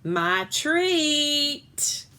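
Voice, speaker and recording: A woman's voice: sits high, sing-song, drawn-out words, sharp tone, a little hiss or hum, pitched above the performer's own voice, heavily acted. A woman voicing a reluctant father in a children's story, coaxing and bargaining.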